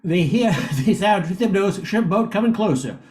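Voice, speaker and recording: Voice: mid-range voice, lively intonation, moderate pace, over music. An older amateur narrator reading a scripted radio play, occasionally stumbling and repeating words.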